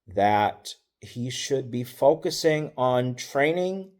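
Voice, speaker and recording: A middle-aged man, a football coach, telling stories from past seasons in a relaxed, wry, matter-of-fact way, with fillers and self-corrections. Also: mid-range voice. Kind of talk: casual storytelling.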